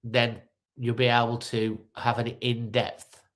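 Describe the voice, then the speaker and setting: A man's voice: medium pitch, completely flat delivery, slow, fairly bright tone. A middle-aged man with a stuffy nose from a cold, hosting a casual fan-channel live update with many ums and restarts.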